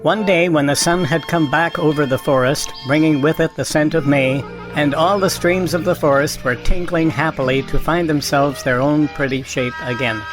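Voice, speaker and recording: A man's voice: fairly high pitch, normal speed, over music, poor audio. A man narrating a scripted children's story.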